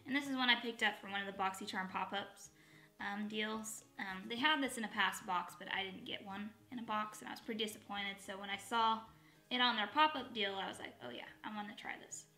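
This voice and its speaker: Woman, thirties, slightly raspy dry voice, rambling chatty get-ready-with-me talk, echoey bedroom. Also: mid-range voice, a little fast, sharp tone, soft background music. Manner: rambling, self-correcting, with asides. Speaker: beauty vlogger. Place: bedroom at home, indoors. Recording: echoey home room.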